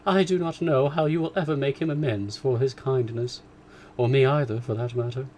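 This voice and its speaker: A man voicing an excitable, complaining middle-aged mother, raised well above his own voice with emphatic swoops. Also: medium pitch, a little fast, slight background noise, pitched above the performer's own voice, lightly acted. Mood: gushing.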